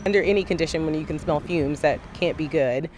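A woman commuter outdoors, speaking briskly with concern about her bus rides. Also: low-pitched. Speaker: commuter interviewee. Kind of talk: street interview. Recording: street noise behind.

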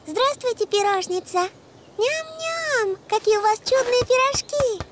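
A woman's voice: very high voice, expressive, unhurried, heavy background noise. A woman voicing a sweet, playful little girl donkey in a preschool cartoon, girlish and singsong. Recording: light music behind the voice.

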